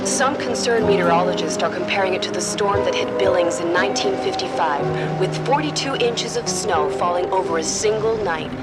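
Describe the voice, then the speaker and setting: An actress as a formal radio newsreader, music behind. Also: female voice, mid-range voice, close to an everyday voice. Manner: formal, measured announcer delivery. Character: radio news announcer warning of a severe winter storm. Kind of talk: scripted broadcast news reading.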